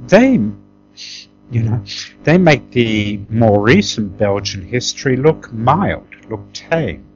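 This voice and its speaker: A man's voice: medium pitch, sing-song, takes it slowly, lo-fi sound, a little hiss or hum. A man from Tanzania, middle-aged, talking conversationally as an interview guest, emphatic and often checking for agreement as he reminisces.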